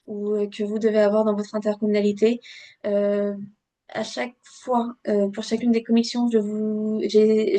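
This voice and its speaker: A woman with a mellow, relaxed voice gives an instructional online presentation. Her intonation stays quite flat and even. She speaks straight to her listeners in a teaching tone, often pausing on fillers as she searches for the next phrase. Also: mid-range voice. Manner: hesitant, halting delivery with frequent filled pauses; didactic, addresses listeners directly. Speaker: webinar presenter on local government law. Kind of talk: explanatory webinar presentation from slides.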